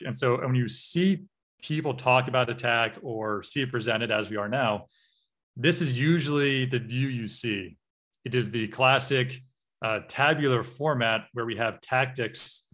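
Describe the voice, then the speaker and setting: American male trainer, thirties, mid-pitched voice, easygoing lecture delivery with plenty of fillers, talking over slides on a video call. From United States. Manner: relaxed, frequent uh and um fillers. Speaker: cybersecurity trainer.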